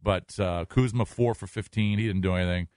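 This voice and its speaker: A man's voice: fairly low pitch, a little fast, a little mellow, no echo, studio quality. A man co-hosting a sports talk show in casual style, rattling off figures and names and repeating phrases. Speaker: sports radio co-host. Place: radio studio. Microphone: close studio microphone.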